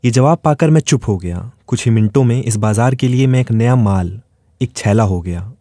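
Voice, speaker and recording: A man's voice: medium pitch, lively intonation, normal speed, dark tone, dry recording, studio quality. A man reading a scripted first-person dramatic story as its narrator.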